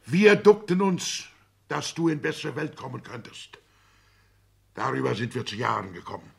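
An actor playing an aggrieved, blustering older petty-bourgeois father with a gravelly, husky voice in a 1960s German radio play. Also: medium pitch, sing-song, normal speed, exaggerated voice. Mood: aggrieved, reproachful.

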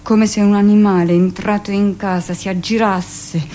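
Woman acting as a middle-aged midwife doing an emotional stage monologue, intimate, expressive, bit of background noise. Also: mid-range voice, natural voice. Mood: reflective, absorbed. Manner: expressive, intimate storytelling with dramatic pauses. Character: 45-year-old village-born midwife, recounting childhood, emotional, earnest. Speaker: actress performing a monologue. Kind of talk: theatrical first-person dramatic monologue.

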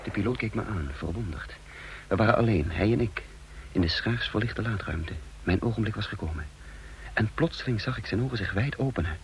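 A low-pitched male secret agent, played by an actor in a radio drama. He is wounded and tense, telling his own story and pressing on with orders. The old recording has some noise. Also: fairly quick, lightly acted, low-quality recording. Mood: tense, dramatic. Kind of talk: radio drama acting and first-person narration.

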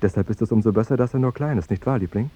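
An actor playing a cheerful, guileless young fiancé who talks on with needling politeness in a 1950s radio play. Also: male voice, medium pitch, rapid-fire, mellow, slightly rough, natural voice. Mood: eager, chatty. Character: Jerome, cheerful, naively chatty young fiancé. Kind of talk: 1950s German radio drama acting. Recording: dull 1950s radio recording, music behind.

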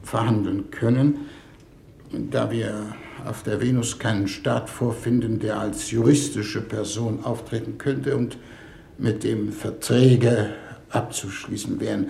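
A man's voice: on the low side, steady tone, slow, creaky, dark tone, full character voice. An actor playing a formal, ponderous, idealistic foreign minister in a 1950s German radio play, hesitant in his speechmaking. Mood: hesitant, official. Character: deliberate, idealistic male foreign minister leading a diplomatic mission. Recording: roomy 1950s radio recording, background hiss.

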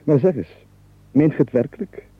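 An actor playing a rich, haughty yet amused nobleman in a comic radio play, speaking formally and emphatically with a husky voice. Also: fairly high pitch, normal speed, no echo. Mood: amused, exasperated. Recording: muffled narrow-band 1980s radio recording.

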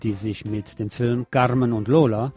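A man's voice: mid-range voice, steady tone, normal speed, loud music behind the voice. A man presenting a scripted film review as a radio host.

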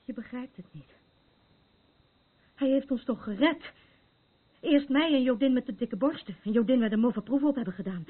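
Angstige, dolende vrouw, telefoonachtig geluid. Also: female voice, on the high side, moderate pace, long pauses, slightly rough. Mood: anxious, urgent. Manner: rambling, earnest, haunted. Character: troubled, fearful woman, self-appointed night watcher and doomsayer. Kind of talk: radio play acting. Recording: muffled phone-like sound with background noise.

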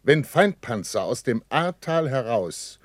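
An actor playing a dutiful army captain who reports formally and precisely in a German radio play. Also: male voice, medium pitch, sing-song, unhurried, no echo, clean studio sound.

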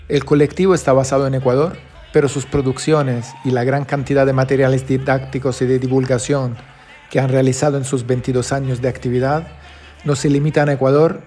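A man hosting a radio programme, introducing it in a measured, explanatory way. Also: mid-range voice, darkish tone. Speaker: radio show host. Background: background music.

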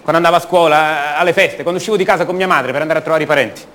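A man's voice: on the high side, a bit bright, slight background noise, lightly acted. An actor playing a restless, defensive young man from a privileged family in a radio monologue, hesitating and repeating words. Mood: wistful. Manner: hesitant, halting, repeats words, rhetorical tags. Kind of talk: theatrical monologue, radio drama.

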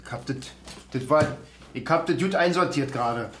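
A man's voice: medium pitch, heavy background noise, natural voice. Man acting a stubborn old father, roomy sound. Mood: grumbling, reproachful. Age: older. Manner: grumbling, halting, muttering to himself. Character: stubborn, forgetful old father sorting his pills. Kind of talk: naturalistic radio drama acting. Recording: roomy, loud clatter of dishes.

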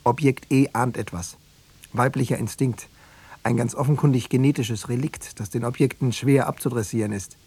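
An actor playing a pedantic, self-satisfied academic who runs secret police experiments, lecturing in a bookish way, in a radio drama. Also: male voice, mid-range voice, fairly even intonation, normal speed, a little mellow, slightly rough. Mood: explaining.

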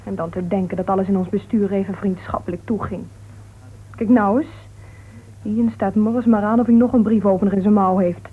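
A woman's voice: mid-range voice, steady tone, brisk, slightly rough, natural voice. A woman playing a tart, self-assured society woman in a radio play, arch and dryly mocking. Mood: tart, miffed. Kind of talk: Dutch radio play acting. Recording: tinny old radio recording.

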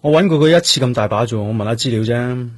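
An actor plays a brooding, stubborn police detective in a radio drama. He has a husky, mid-pitched male voice and speaks Hong Kong Cantonese. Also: fast, no room sound, natural voice. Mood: stubborn, cool.

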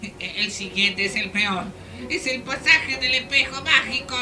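A woman's voice: high voice, measured pace. A woman playing a fussy, scolding guide to magic gates in a theatrical radio drama. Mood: scornful warning. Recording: studio radio play over loud music.

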